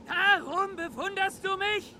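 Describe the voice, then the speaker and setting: An earnest, burdened dikemaster speaks in a radio drama. The pitch is extremely high and the delivery slow. Clear outdoor noise runs behind him. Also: male voice, pushed and bright, exaggerated voice. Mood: wounded. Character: proud dreaming dikemaster, stunned and burdened by guilt. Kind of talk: dramatic radio play acting. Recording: noisy outdoor scene.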